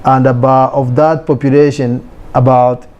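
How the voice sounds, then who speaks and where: Mellow-voiced man. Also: medium pitch, level tone, a little slow, lo-fi sound. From Kenya. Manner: earnest, explanatory, with hesitant fillers. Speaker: interviewee. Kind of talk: explanatory interview talk.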